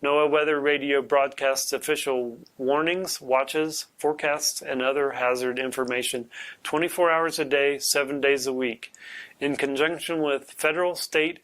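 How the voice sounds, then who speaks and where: A man's voice: mid-range voice, fairly bright tone. A man presenting scripted public safety information as a weather service technician.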